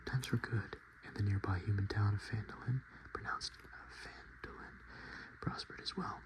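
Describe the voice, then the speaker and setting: A man hosting softspoken, whispered ASMR in a murmured, unhurried, casual and self-deprecating way. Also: fairly low pitch, steady tone, rough recording. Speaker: ASMR video host.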